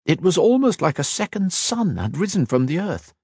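A man voicing a gentle, calm elf woman in a fantasy audiobook, speaking softly in formal, deliberate phrases. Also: high voice, slightly rough, no room sound, clean studio sound, voice pushed up, full character voice. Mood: calm explaining. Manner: soft-spoken, deliberate, formal.

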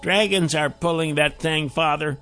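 A man's voice: a little high, moderate pace, a bit bright, faint music behind, pitched above the performer's own voice. A man voicing an earnest, eager young man, a wizard's son, in a fantasy audiobook.

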